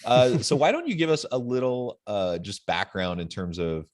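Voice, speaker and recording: A man speaking as a podcast interview host, in measured phrases with stretched emphasis on some words. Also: mid-range voice, big pitch swings, a little mellow, no echo. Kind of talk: podcast interview with a read-out guest introduction.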